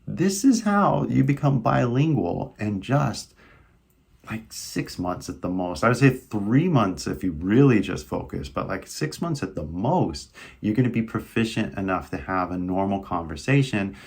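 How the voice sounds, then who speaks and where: A man's voice: lowish voice, sing-song, a little slow, vocal fry, a little mellow, breathy edge. A man teaching English in a casual video lesson, coaching with repetitive questions like "right?".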